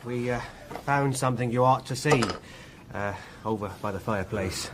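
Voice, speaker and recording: An actor playing a respectful, hesitant manservant in a period radio drama, plain-spoken. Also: male voice, mid-range voice, moderate pace, heavy background noise, lightly acted. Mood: uneasy.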